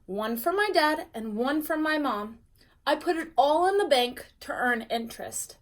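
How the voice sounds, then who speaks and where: A self-satisfied little schoolgirl from a storybook , played by a woman, speaking in a fairly high voice. She is precise and proudly money-minded. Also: expressive, measured pace. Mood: smug.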